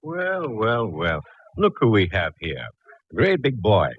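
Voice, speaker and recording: An actor playing an effusive, jolly department store Jack Frost in a 1940s radio drama, theatrical and fussy. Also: male voice, fairly low pitch, huge pitch swings, slow, full character voice. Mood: jolly, indulgent. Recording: muffled, faintly hissy old radio recording.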